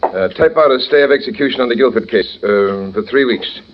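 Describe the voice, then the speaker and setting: An actor playing an authoritative governor in a vintage radio drama, deliberate and official, with small hesitations. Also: male voice, mid-range voice, close to an everyday voice. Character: measured, authoritative state governor giving orders. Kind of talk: 1940s-50s radio drama acting. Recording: muffled old radio recording with hiss.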